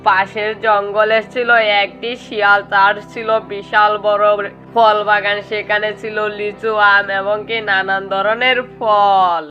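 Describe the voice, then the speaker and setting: A woman's voice: mid-range voice, little pitch movement, unhurried, highs cut off, over loud music. A woman narrator telling a children's story in a scripted storytelling style.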